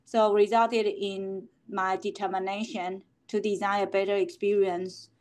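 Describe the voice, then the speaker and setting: A middle-aged woman from Taiwan, answering interview questions as a company founder, halting with many fillers and restarts. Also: medium pitch, little pitch movement, a little mellow.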